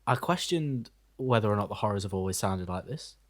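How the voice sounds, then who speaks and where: A man co-hosting a music podcast, giving his opinions in casual chat with wry, deadpan humour. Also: lowish voice, brisk.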